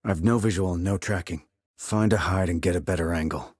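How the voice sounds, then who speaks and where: An actor playing a terse, dry, confident military sniper in a video game, with a husky voice and clipped, controlled reports. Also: male voice, deep voice, fairly quick, a little mellow, no echo. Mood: businesslike. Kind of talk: scripted military game dialogue.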